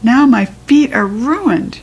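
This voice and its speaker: A woman voicing an excitable, brash little girl from a children's storybook, speaking in emphatic, childlike bursts with mangled grammar. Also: fairly high pitch, takes it slowly, husky, voice pushed up, full character voice. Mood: upset. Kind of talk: children's storybook character reading.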